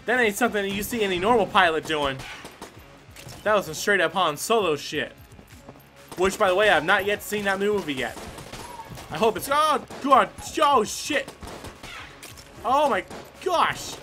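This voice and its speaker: A high-voiced man hosts a gameplay video with casual, excited commentary. His delivery is bright and swings up and down, full of exclamations and swearing aimed at himself and the game. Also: measured pace, pauses often. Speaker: gaming video host. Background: game music.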